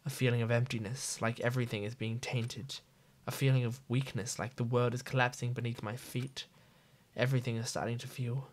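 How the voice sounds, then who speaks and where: Woman streamer, reading game text, very deep for a woman, subdued delivery. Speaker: let's-play streamer.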